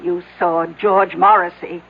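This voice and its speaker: An actress playing a dutiful, anxious secretary, deferential but insistent, in a radio drama. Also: female voice, on the low side, level tone, measured pace. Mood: worried, insistent. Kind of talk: 1970s radio drama acting. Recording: muffled, noisy old radio broadcast.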